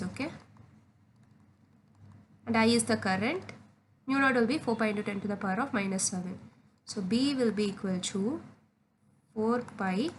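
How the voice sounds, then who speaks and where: A woman's voice: medium pitch, slight background noise. A woman tutor working through exam solutions step by step, methodically spelling out formulas in short phrases. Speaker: physics tutor.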